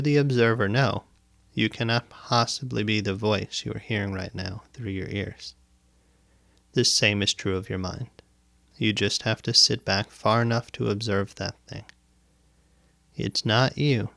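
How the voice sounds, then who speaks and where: A man guiding a meditation as a teacher, speaking in deliberate, instructive phrases with pauses and repetition, close to the microphone. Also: lowish voice, fairly even intonation, creaky, a bit bright, dry recording. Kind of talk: guided meditation, spoken instruction. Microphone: close microphone, dry sound.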